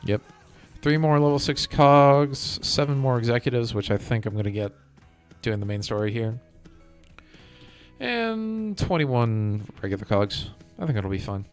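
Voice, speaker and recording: Guy livestreaming a game, mid pitched voice, chatting casually, bit of music behind him. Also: sing-song, measured pace. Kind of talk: casual gaming chat.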